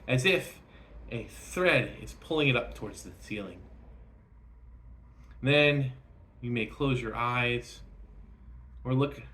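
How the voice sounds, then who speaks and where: A man leading a guided meditation, speaking gently and instructively in short phrases with frequent pauses. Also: mid-range voice.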